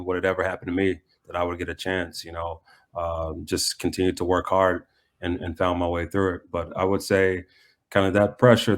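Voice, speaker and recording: A man with an American accent speaks in a low voice that stays very even. He sounds like a coach being interviewed, answering in a conversational way. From United States.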